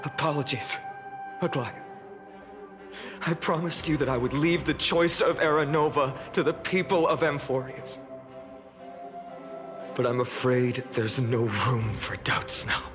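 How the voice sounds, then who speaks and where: A man's voice: mid-range voice, measured pace, pauses often, music in the background, natural voice. An actor voicing an earnest, dutiful young male warrior leader in a video game, with a solemn, heroic delivery. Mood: solemn, determined. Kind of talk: English video game voice acting.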